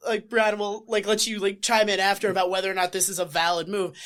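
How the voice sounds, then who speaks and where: A woman's voice: mid-range voice, level tone, fast talker, fairly bright tone. A woman co-hosting a podcast, retelling scenes sarcastically. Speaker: podcast co-host. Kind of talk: casual podcast chat.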